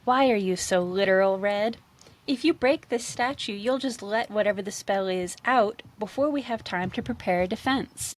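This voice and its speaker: A woman's voice: medium pitch, normal speed, close to an everyday voice. A woman reading the part of a blunt, impatient male talking animal who lectures emphatically in a storybook tale. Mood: exasperated.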